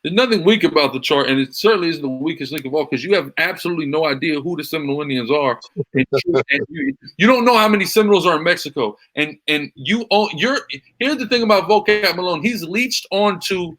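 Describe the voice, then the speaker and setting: A man's voice: a little high, lively intonation, quick pace, fairly bright tone, low-quality recording. A man hosting a live reaction stream, talking in a heated, combative way and repeating himself as he argues. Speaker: livestream host reacting to videos.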